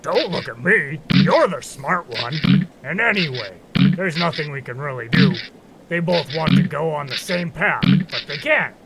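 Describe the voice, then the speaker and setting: A man's voice: on the high side, animated, unhurried, creaky, a crowd in the background, rough recording. A man playing a laid-back, reluctant but kindly young magician in an audio drama, casual and wry.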